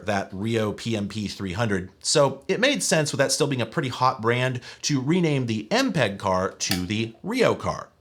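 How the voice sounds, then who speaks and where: Male video essayist, mid-range voice, expressive melody, wry scripted delivery with strong emphasis and opinions. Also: medium pitch. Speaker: video essay host and narrator. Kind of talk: scripted tech history narration.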